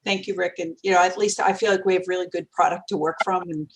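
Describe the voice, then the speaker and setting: A woman's voice: lowish voice, steady tone, fast talker. A woman with a Boston accent moderating a panel discussion over a video call, thanking each speaker and passing the floor. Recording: noisy video call audio.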